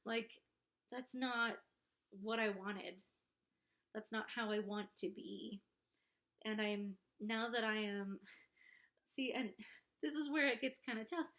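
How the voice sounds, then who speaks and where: A young adult woman with a congested nose talks casually and candidly to camera as a vlogger, in a halting, self-conscious way. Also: fairly high pitch, fairly bright tone. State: congested, pregnant. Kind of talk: casual confessional talk to camera.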